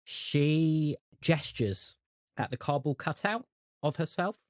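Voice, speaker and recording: A man's voice: fairly high pitch, lively intonation, slow pace, no echo, clean studio sound. A man with a British accent, game mastering and chatting casually on a tabletop roleplay show.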